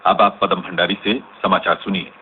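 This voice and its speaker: An actor playing a grave male news reader, delivering a formal, measured scripted bulletin in a radio drama. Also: deep voice, flat delivery, close to an everyday voice. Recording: tinny, band-limited, noisy radio recording.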